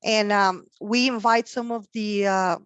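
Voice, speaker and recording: A woman's voice: medium pitch, fairly even intonation, a bit bright, smooth, dry recording. Woman with a Mexican accent, dry sound. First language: Spanish. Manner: halting, many fillers and restarts. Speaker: career panel speaker. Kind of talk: career talk to students.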